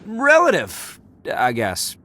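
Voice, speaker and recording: A man's voice: sits high, wildly swooping pitch, slow pace, sharp tone, full character voice. A man voicing a brash, cocky but good-hearted young gang leader in a video game, boastful and informal. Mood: proud, sheepish. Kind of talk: dramatic video game voice acting.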